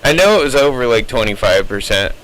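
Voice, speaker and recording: Bright-voiced man rambling on CB radio. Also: medium pitch, sing-song, brisk, poor audio. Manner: rambling explainer, opinionated. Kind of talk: casual chat over CB radio. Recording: CB radio chatter with faint noise.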